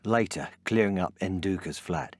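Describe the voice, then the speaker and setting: Crime game narrator, very low-voiced man, terse scripted scene-setting. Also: unhurried, darkish tone, no room sound, studio quality. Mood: terse, ominous.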